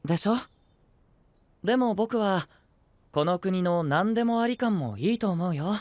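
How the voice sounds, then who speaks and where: A man playing a gentle, curious, polite young knight in an anime voice drama, speaking softly with calm teasing. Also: high voice, a little slow, no echo. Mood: relaxed, chatty.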